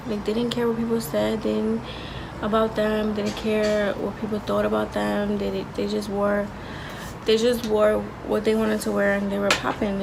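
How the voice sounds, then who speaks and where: Soft-voiced young woman, gravelly and flat. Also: background noise. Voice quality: soft, low-volume voice. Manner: slangy, rambling, with frequent restarts. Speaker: vlogger. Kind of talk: casual confessional vlog.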